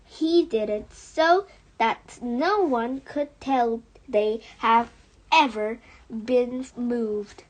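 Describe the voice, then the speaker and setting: Female children's audiobook reader: expressive, high-pitched, slow and deliberate narration.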